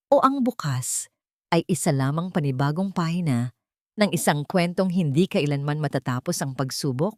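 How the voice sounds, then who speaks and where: A woman's voice: low voice, darkish tone, no echo. Woman narrating sentimentally, dry close sound. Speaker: storyteller narrator. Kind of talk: scripted dramatic audio story narration.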